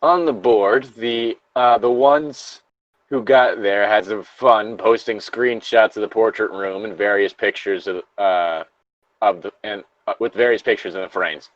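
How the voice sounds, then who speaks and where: A man's voice: on the low side, steady tone, measured pace, dry recording, muffled recording. A man reading a horror story aloud as co-host, casually, with joking asides and the odd stumble. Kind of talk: casual co-reading of creepypasta with banter.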